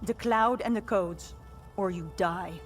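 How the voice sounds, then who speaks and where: A woman's voice: medium pitch, unhurried, faint music behind, natural voice. An actress playing a level-headed, resolute female rebel leader in dramatic video game dialogue, matter-of-fact and commanding. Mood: stern.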